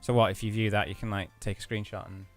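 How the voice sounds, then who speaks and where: A man's voice: on the low side, fairly even intonation, fast talker, faint music behind. A man co-hosting a let's play, casually commenting and reading game text aloud.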